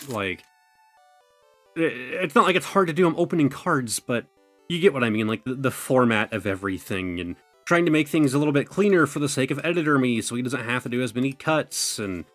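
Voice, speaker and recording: A young man talks loosely and at length, his voice thin and worn as if it is going out. He leans on fillers and loses his thread before finding it again. Faint music plays quietly behind him. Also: medium pitch, lively intonation, moderate pace. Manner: rambling, full of "like" and "you know". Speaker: card-opening YouTuber. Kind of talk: casual chatty commentary.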